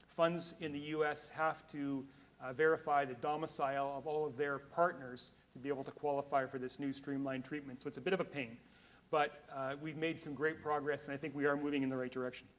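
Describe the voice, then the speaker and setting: A man from Canada moderating a conference panel, speaking candidly with frequent restarts. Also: fairly high pitch. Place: conference hall.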